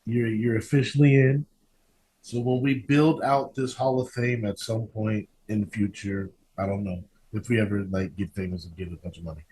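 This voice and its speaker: A man's voice: on the low side, steady tone, moderate pace, warm tone, slight background noise, poor audio. A man casually interviewing a guest as an informal, encouraging podcast host.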